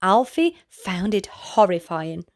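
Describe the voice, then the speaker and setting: A woman reading a children's bedtime story aloud in a gentle, expressive way. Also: mid-range voice, slow pace.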